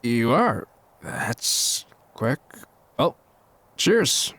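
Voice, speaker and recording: Man acting a suave, smitten rich gentleman. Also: mid-range voice, sing-song, extremely slow, heavily acted. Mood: smitten, smooth. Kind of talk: audio drama acting.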